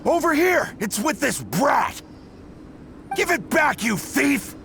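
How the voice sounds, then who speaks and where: Barking, gravelly bandit thug. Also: male voice, very high voice, sing-song, slow, a little hiss or hum, exaggerated voice. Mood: angry, threatening. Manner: barking, threatening. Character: aggressive thuggish male bandit. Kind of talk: anime game voice acting. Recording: loud music under battle dialogue.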